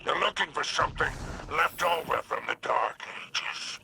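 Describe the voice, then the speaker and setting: A man playing a haughty, shrewd male alien crime boss in a video game, gravelly and growling, with a clipped, imperious manner. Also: on the high side, animated, moderate pace, background noise. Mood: grudging, shrewd. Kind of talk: video game mission dialogue. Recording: very loud music behind.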